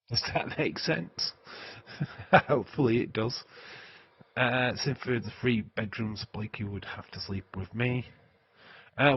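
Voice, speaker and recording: A man's voice: medium pitch, breathy edge, dry recording, muffled recording. A man with a Yorkshire accent chatting casually to viewers on a livestream, rambling and laughing often. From England. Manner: rambling, laughs often, many filler sounds. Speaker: livestream host.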